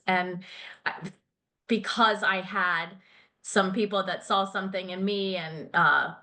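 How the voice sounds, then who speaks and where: A woman's voice: lowish voice, pushed and bright. A middle-aged woman, a finance company founder, talking candidly as an interview guest, laughing easily and using many fillers. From grew up in Thailand and England. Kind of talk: casual interview chat.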